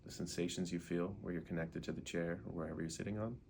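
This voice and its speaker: A man leading a guided meditation in an unhurried way, gently asking questions and leaving pauses. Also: low-pitched, fairly even intonation, gravelly, a little hiss or hum.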